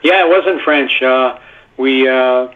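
A man's voice: mid-range voice, measured pace. A man from Canada, a guest actor, telling stories in a rambling way full of fillers. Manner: rambling, filler-heavy storytelling. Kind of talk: casual phone-in interview. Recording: tinny phone line.

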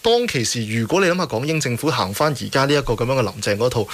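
A man's voice: mid-range voice, very animated, fast, fairly bright tone. A man with a Hong Kong Cantonese accent giving colloquial, ironic political commentary as an academic on a radio talk show. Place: radio studio.

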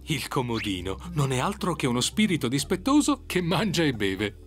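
A man reads scripted narration for a children's cartoon. His mid-pitched voice moves expressively up and down like a storyteller's, with music clearly behind it. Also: wildly swooping pitch, moderate pace.

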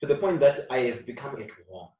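Earnest man, recorded from afar. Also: fast. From Zimbabwe. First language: Shona. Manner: earnest, repeats phrases, animated rises in pitch and loudness. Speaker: actor being interviewed. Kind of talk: casual interview talk. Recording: roomy distant dull sound.

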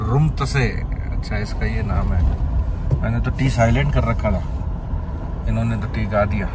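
A man's voice: fairly low pitch, normal speed, recorded from a distance. Chatty man vlogging from a noisy car. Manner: chatty, points things out along the road. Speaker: travel vlogger, host. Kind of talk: casual road-trip narration to viewers. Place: inside a moving car on a mountain road. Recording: loud road noise, voice a bit off-mic.

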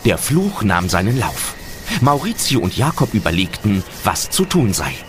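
A man narrating a dark fantasy radio play for children as its storyteller. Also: fairly low pitch, very animated, moderate pace, background noise. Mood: storytelling.

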